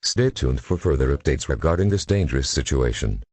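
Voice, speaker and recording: A man's voice: rumbling low, animated, fairly quick, heavy background noise. A man reading a scripted emergency alert in a formal, impersonal way.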